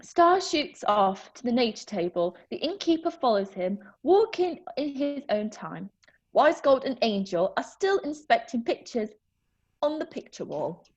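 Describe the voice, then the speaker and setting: A woman's voice: fairly high pitch, big pitch swings, unhurried, highs cut off. A woman reading stage directions aloud in a plain, matter-of-fact way.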